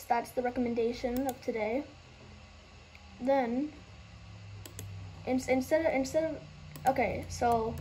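Bright-pitched woman, halting game tutorial. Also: slight background noise, rough recording. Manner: halting, loses track and starts over. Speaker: gaming tutorial host.

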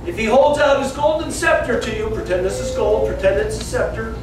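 A man's voice: very high voice, a little fast. A man in his fifties teaching children, telling a Bible story theatrically and acting out the dialogue in simple words. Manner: theatrical, acts out dialogue, plain simple wording. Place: small classroom indoors. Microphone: camera several metres away. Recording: roomy echo, distant camera mic, room noise.

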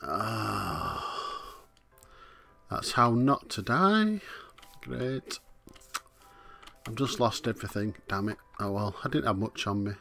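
A man with a hoarse, coughing voice, casually muttering his way through a video game as he plays. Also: lowish voice, sing-song, slow, pauses often, bright, forceful tone, soft background music. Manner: muttering to himself, easygoing, frequent "right". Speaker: let's play host. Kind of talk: casual gameplay commentary.

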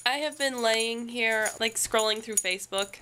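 A woman's voice: fairly high pitch, normal speed, slight background noise. A young woman with a bit of a cold, vlogging chattily to a handheld camera in her bedroom. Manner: chatty, animated, rambling. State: congested from a cold. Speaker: family vlogger. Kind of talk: casual vlog to camera. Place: bedroom at home. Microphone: handheld camera at arm's length.

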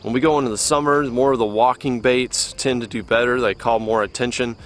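A man's voice: medium pitch, a little fast, slight background noise. Young man explaining by a lakeshore. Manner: relaxed, conversational, with fillers. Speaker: fishing video host. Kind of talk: casual instructional talk to camera. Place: outdoors by a lake.

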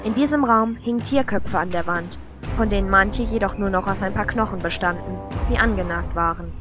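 A woman's voice: medium pitch, fairly quick, natural voice. A woman reading scripted narration as the storyteller of a fantasy audio drama. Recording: muffled lo-fi amateur recording. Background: loud music.

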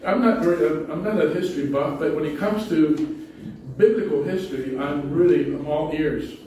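Pastor with a Spanish accent, echoey hall. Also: male voice, fairly high pitch, normal speed, darkish tone, distant mic, a little hiss or hum. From Spanish-speaking country. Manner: conversational preaching with frequent restarts and asides. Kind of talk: sermon. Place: small church hall with low ceiling. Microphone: pulpit mic, far camera.

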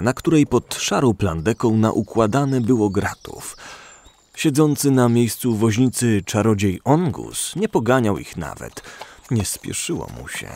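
A man's voice: lowish voice, a little mellow. A man reading scripted narration for a fantasy audio drama as its storyteller.

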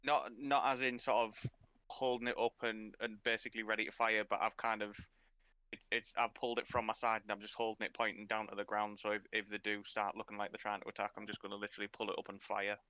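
Clear, bright low-voiced man. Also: flat delivery, fast, dry recording. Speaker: player in a tabletop game. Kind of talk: casual game chat.

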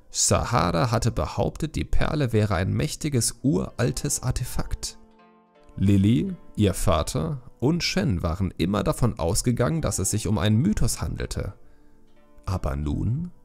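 A man's voice: fairly low pitch, vocal fry, darkish tone. A man reading a scripted fantasy story as an audiobook narrator.

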